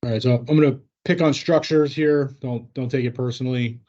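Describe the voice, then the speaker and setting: A man's voice: medium pitch, fairly even intonation, brisk, dark tone. A man with a mid-range voice gives an informal walkthrough to colleagues on an online call. His manner is easygoing and conversational. The audio is muffled and lo-fi. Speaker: presenter in training meeting. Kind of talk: informal screen-share walkthrough. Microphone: online meeting call. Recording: dull, lo-fi online meeting audio.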